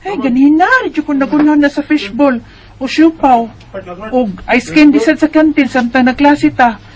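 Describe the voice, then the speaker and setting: A woman's voice: high voice, normal speed, a little mellow, natural voice. A woman with a Bisaya Filipino accent playing a chatty, carefree schoolgirl of about twelve in a radio drama. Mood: chatty, hungry. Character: Maria, carefree schoolgirl around twelve who loves snacks. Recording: music under the voice.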